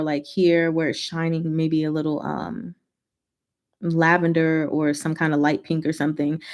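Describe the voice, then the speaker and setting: A woman hosting a casual, rambling livestream chat with viewers, talking in an expressive, playful way. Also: sits low. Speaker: livestream host answering chat.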